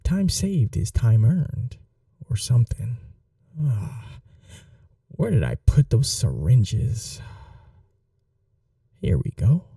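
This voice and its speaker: Guy acting as a smug young mad scientist roomie, asmr roleplay, super dark mellow gravelly voice, coaxing and condescending. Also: mid-range voice, huge pitch swings, slow, pauses often, dry recording, clean studio sound, far from the mic, full character voice. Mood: casual, coaxing. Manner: casual, coaxing, condescending, self-satisfied. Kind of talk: ASMR audio roleplay, intimate acted monologue.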